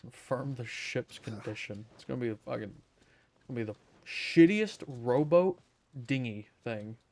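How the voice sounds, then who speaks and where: A woman streamer giving casual game commentary in a sarcastic, deadpan, sweary way. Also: extremely low pitch, normal speed.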